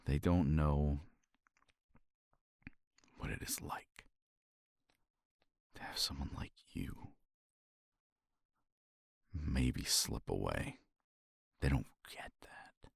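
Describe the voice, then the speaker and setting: A man speaking softly and reflectively in a romantic ASMR roleplay, sighing often and sometimes dropping to a whisper, close to the mic. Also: very deep voice, lively intonation, extremely slow, vocal fry, pauses often, no echo. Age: older. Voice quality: soft, intimate, drifts into whispers.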